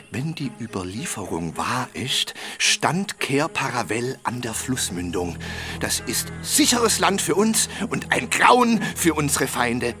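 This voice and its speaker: Lively, flustered old scholar. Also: male voice, mid-range voice. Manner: flustered, chuckling, stammering, theatrical. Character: kindly, learned old male half-dwarf tutor. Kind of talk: German children's audio drama.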